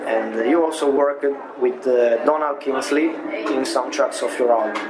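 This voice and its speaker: A man interviewing a singer, asking halting questions and giving short affirmations. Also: mid-range voice, music in the background, rough recording. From Italy. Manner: brief backchannel replies, halting questions. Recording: background noise.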